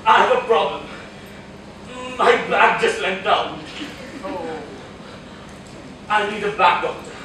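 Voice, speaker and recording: A man's voice: high voice, takes it slowly, long pauses, pushed and bright, heavily acted. A young man acting a short-tempered, exasperated husband in a live stage play. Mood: pained, desperate. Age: young adult. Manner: exasperated, ranting, melodramatic. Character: frantic, short-tempered American husband, exasperated and self-pitying. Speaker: student stage actor. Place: auditorium stage. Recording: echoey noisy auditorium, recorded from far off.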